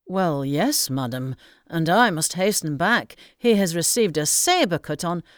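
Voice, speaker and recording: A woman's voice: lowish voice, expressive, measured pace, no room sound, studio quality. A woman voicing a courteous young yeoman soldier who speaks in a hurry. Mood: hurried.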